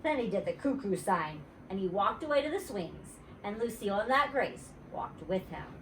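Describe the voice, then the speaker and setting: A woman, a teacher, reading a children's story aloud in an expressive storytime style. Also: medium pitch, normal speed. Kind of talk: animated storybook read-aloud. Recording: roomy, distant mic, faint noise.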